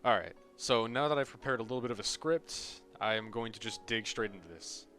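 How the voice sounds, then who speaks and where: A young American man delivers casual comedic commentary with a sarcastic edge. He swears freely, and soft background music sits under his voice. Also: fairly low pitch, expressive, fairly quick. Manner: sarcastic, profane. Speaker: video creator.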